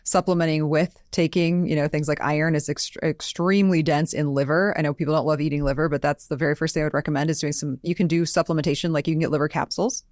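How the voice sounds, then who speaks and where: A woman's voice: low voice, fairly even intonation, fast, hardly any pauses, darkish tone, dry recording, clean studio sound. An American woman co-hosting a health Q&A podcast, chatty and explanatory in a conversational style. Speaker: podcast co-host and nutritional therapy practitioner.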